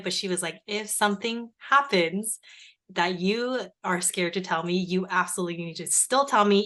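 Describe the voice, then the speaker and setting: Podcast guest: young woman, native Korean speaker, bright and chatty, many fillers. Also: on the low side. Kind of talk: casual podcast interview.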